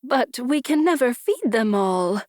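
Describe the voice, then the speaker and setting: A woman's voice: a little high, animated, unhurried, darkish tone, no room sound, studio quality. A woman voicing a very old wife in a storybook, exasperated and practical, scolding with emphatic phrasing. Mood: exasperated, dismayed.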